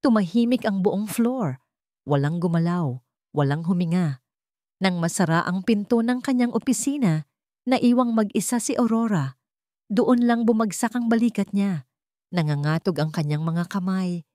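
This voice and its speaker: A woman narrating a drama story in a measured, dramatic storytelling manner. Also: mid-range voice, animated, dark tone, no room sound, studio quality.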